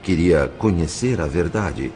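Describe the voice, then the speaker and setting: A Brazilian man playing a grave spirit narrator in an audio novel, telling a tragic story in a solemn, literary, measured way. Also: sits low, expressive, darkish tone, a little hiss or hum. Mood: solemn, dramatic. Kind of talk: dramatised scripted narration.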